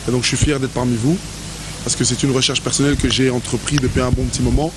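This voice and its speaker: Young man in his late 20s, mid-pitched, halting, restarting sentences, with clear background noise. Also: steady tone. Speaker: boxer, interviewee. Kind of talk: field interview. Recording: noisy field recording.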